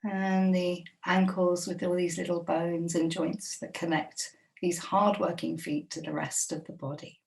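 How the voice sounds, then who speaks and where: A woman guiding a body scan meditation, speaking slowly and gently with long, unhurried pauses. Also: lowish voice, level tone, creaky, far from the mic, rough recording. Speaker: meditation guide.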